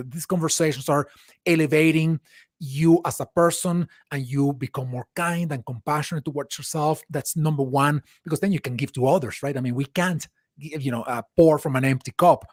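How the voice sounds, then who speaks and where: A man's voice: fairly high pitch, a little mellow, dry recording, studio quality. A man hosting a live interview chat, asking long, earnest, reflective questions with frequent restarts. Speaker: host.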